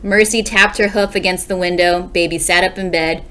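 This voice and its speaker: A woman's voice: lowish voice, steady tone, fairly quick, a bit bright. A woman, a second grade teacher, reading a storybook aloud expressively and explaining it for young listeners. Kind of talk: expressive children's read-aloud.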